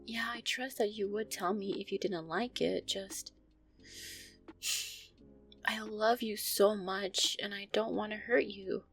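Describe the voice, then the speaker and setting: A woman playing a gentle, anxious, affectionate vampire girlfriend in an intimate roleplay audio, speaking softly, tenderly and hesitantly. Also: mid-range voice, fairly even intonation, takes it slowly, pauses often, soft background music. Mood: affectionate, nervous. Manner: soft, tender, hesitant, sighing delivery.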